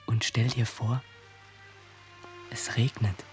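An actor plays a young older brother in a 1970s German radio drama, protective and imaginative. The voice is of middling pitch for a man. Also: steady tone, slow, soft background music, natural voice. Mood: playful.

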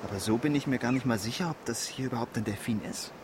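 A man's voice: mid-range voice, quick pace, background noise, close to an everyday voice. A man playing an earnest, eager young marine biology student in a radio drama, polite and matter-of-fact. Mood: focused. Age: young adult.

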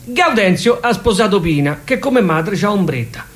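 A man with a high voice and a Roman accent tells absurd comic stories theatrically. His pitch leaps around and his phrasing is colloquial and animated. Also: moderate pace. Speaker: comic monologuist.

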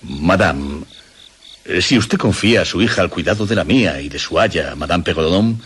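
A man's voice: sits low, lively intonation, moderate pace, fairly bright tone, slightly rough, exaggerated voice. An actor playing a middle-aged, courtly aristocratic father in a Castilian Spanish radio drama, with formal, gallant diction. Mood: courteous concern. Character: courtly, kindly aristocratic father of a young woman.

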